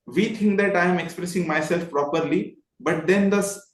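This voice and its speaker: Male voice trainer, Indian accent, slightly high pitch, earnest and emphatic, roomy echoing recording. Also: normal speed. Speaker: voice trainer, interview guest. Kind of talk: earnest motivational talk in a discussion.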